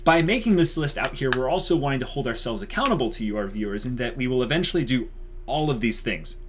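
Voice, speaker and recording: A man's voice: medium pitch, animated, quick pace, noisy background. A man hosting a channel, talking casually to viewers in a wordy, explanatory way full of qualifiers. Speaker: channel host.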